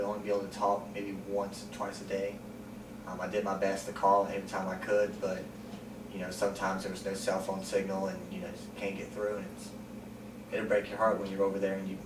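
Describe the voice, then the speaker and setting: Calm young man interviewee, low even delivery, recorded far off with noise. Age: 20s. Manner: calm, plain-spoken, frequent ums. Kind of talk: sit-down interview. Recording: roomy, distant mic, noisy.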